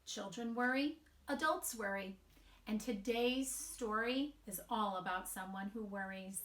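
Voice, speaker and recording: A woman's voice: mid-range voice, a little slow, fairly bright tone. A woman reading a picture book aloud to young children, with simple explanatory asides. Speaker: storytime reader addressing children. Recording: roomy, distant microphone.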